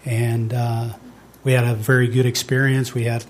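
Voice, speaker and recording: A man speaks at a middle pitch in a flat, monotone voice with little rise or fall. He is a parent giving a public comment at a board meeting, halting often between phrases. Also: a little hiss or hum.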